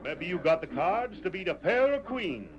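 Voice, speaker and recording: Swooping, folksy western gambler. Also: male voice, sits high, very animated, normal speed, muffled recording. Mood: cagey, folksy. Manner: drawling mock innocence, folksy. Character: skinny, wily, folksy male western gambler feigning innocence. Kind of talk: old-time radio western acting. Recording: noisy vintage radio recording.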